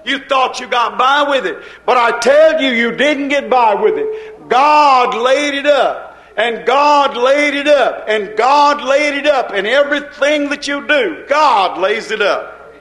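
Man, preacher, fiery sermon, repetitive rising cadence, high voice, roomy church sound. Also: lively intonation, takes it slowly, a bit bright.

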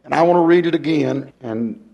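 A hoarse male pastor, talking folksy and rambling, then reading scripture in a rising preaching cadence. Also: a little high, fairly quick, muffled recording.

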